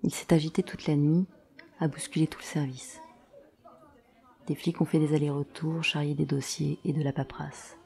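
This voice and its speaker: A woman's voice: low-pitched, level tone, moderate pace, gravelly, warm tone. A woman narrating a scripted audio drama in terse, present-tense storytelling.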